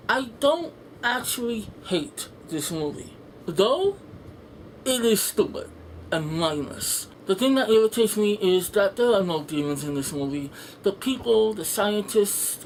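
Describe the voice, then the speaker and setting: A casual video reviewer with a mid-range voice rambles through strong opinions. The delivery is blunt, sarcastic and sweary. Faint room noise and ambience sit behind the voice. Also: mid-range voice. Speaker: film reviewer, video host. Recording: noisy home room with background ambience.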